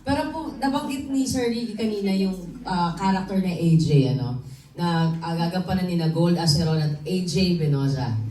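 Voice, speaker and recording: A Filipino woman with a slightly low voice hosts in an informal, chatty style. She is a little distant from the mic in a roomy space with faint noise. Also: expressive, normal speed, mellow. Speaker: press conference host. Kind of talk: casual Taglish hosting.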